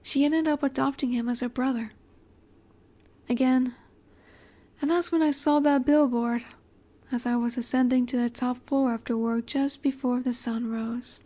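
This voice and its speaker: A woman playing an ageless, possessive vampire in a romantic audio roleplay, speaking softly and breathily, often near a whisper, with a wistful, elegant, gently commanding manner. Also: high voice, steady tone, normal speed. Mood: nostalgic, melancholy. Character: ageless female vampire boss's daughter, wistful, tender, possessive.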